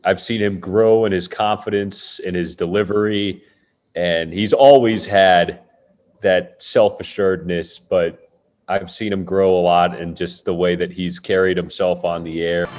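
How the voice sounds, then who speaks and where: A man's voice: low-pitched, fairly even intonation, unhurried, darkish tone. A young man, a sports broadcaster, giving interview comments about a colleague. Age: young adult.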